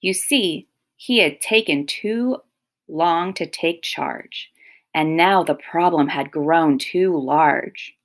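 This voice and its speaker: Unhurried woman reading a storybook aloud. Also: deep voice. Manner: pausing to explain and comment to young listeners. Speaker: teacher reading a picture book aloud. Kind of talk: expressive children's storybook read-aloud.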